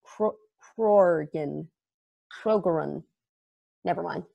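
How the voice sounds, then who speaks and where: A man reading an excitable, chatty space probe in a table read over a video call, rambling off into tangents. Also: on the high side, slow pace, vocal fry, dull audio, heavily acted. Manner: enthusiastic, rambling, tangent-prone.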